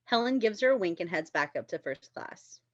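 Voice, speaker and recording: A woman's voice: mid-range voice, lively intonation, brisk, no echo, highs cut off. A woman reading stage directions as scripted narration at a table read.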